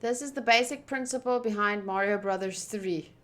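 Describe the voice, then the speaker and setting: A woman's voice: mid-range voice, moderate pace. A woman hosting a visual novel playthrough, reading the text aloud expressively and breaking off into wry, informal asides. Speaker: gaming video host.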